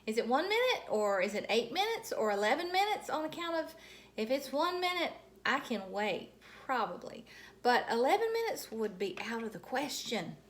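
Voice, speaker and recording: A woman voicing an excitable, blunt, chatty first-grade girl in an animated storybook reading, with noise behind her. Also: high-pitched, moderate pace. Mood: pestering. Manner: emphatic, breathless enthusiasm, childlike phrasing. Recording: noticeable background noise.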